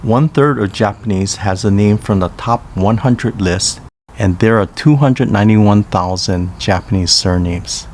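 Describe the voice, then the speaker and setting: Slow, low-voiced man teaching. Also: darkish tone, a little hiss or hum. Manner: slow, careful reading of name pairs. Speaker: language teacher. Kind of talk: scripted lesson narration.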